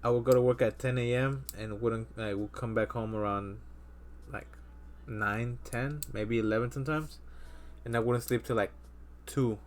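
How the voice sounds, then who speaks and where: A man's voice: medium pitch, fairly even intonation, normal speed. A man in his thirties hosting a casual podcast chat, rambling with lots of fillers and restarts. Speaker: podcast host.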